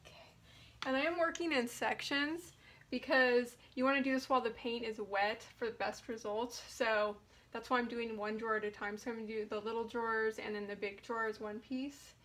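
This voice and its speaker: A woman's voice: a little high, steady tone, brisk, fairly bright tone. A woman in her thirties giving a casual hands-on DIY tutorial indoors, thinking aloud informally as she works. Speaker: DIY furniture painting vlogger. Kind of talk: casual tutorial chat while working.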